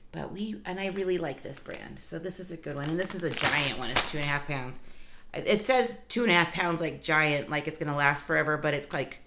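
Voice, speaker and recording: A woman vlogger chatting casually to her viewers, hoarse from a cold and losing her voice. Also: low-pitched, noisy background. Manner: chatty, talks straight to viewers with asides. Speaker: vlogger showing a grocery haul. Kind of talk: casual chatty vlog talk.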